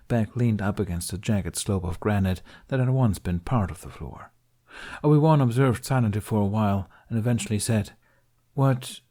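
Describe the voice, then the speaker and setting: A man with a fairly low voice reads a story aloud. His narration is expressive and shifts into voices for each character. The delivery suits a fan audiobook. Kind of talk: reading fan fiction aloud.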